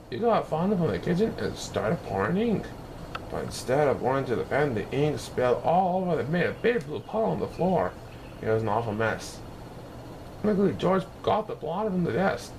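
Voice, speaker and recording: A man narrating a children's storybook in an expressive, deliberate storytelling manner with dramatic emphasis. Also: medium pitch. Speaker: storybook reader. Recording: clear background noise.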